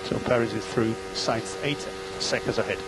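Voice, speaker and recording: A man working as a race engineer, giving clipped, steady calls of gaps, lap times and instructions over team radio. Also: medium pitch, moderate pace, heavy background noise.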